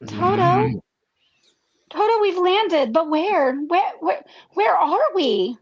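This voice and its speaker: A woman playing an anxious, earnest farm girl in a comedic radio play, speaking in breathless, halting, repeated phrases. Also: very high-pitched, animated, lo-fi sound, heavily acted. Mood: bewildered.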